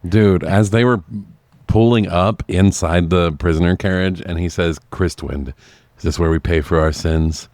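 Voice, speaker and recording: A man's voice: low-pitched, steady tone, moderate pace, darkish tone, no room sound. A man co-hosting a podcast, reacting casually to a film with amused, slangy, sweary remarks. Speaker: podcast co-host reviewing movies. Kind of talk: casual chat, reacting to a recap.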